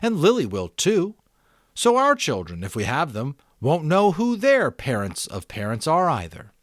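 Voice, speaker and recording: A man's voice: fairly high pitch, wildly swooping pitch, measured pace, dry recording, clean studio sound, voice pushed up. A man voicing an earnest, curious young boy in an audiobook, talking eagerly and questioningly as he reasons aloud. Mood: earnest, reasoning. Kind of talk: audiobook character voice.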